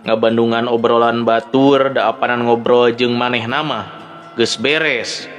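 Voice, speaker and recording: A man's voice: medium pitch, fairly even intonation, unhurried, dull audio. A man with a Sundanese accent narrating a dramatic story as a storyteller. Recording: background music under the voice. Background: music.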